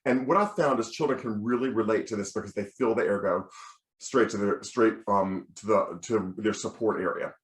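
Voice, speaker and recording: An American man, a bass, teaching as a choir director in an encouraging, step-by-step instructional style with practical examples. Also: normal speed. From United States. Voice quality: bass singing voice. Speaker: children's choir director giving a lecture. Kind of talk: instructional lecture with demonstrations. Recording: roomy, echoing sound.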